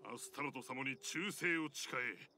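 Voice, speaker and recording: A man playing a pompous, blustering hulking demon villain with a gravelly, bombastic delivery in an anime game. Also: medium pitch, unhurried, slight background noise. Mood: menacing. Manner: bombastic threats and battle shouts. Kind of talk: Japanese anime visual novel voice acting.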